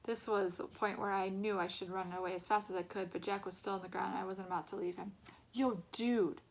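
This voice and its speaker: A woman reading a storybook aloud as an expressive narrator. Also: mid-range voice, quick pace, gravelly, a little hiss or hum.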